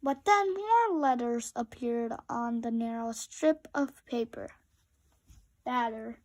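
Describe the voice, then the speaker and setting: A woman with a very high voice narrates a children's story. She reads at a slow pace and speaks directly to her young listeners as she goes. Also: no echo. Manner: asks young listeners questions. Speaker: storybook reader.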